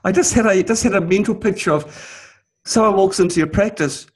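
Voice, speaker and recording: A man's voice: sits high, fairly quick, breathy edge. A man with a New Zealand accent hosting an interview, enthusiastic, laughing easily and restarting his sentences. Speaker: interview host. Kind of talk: interview chat.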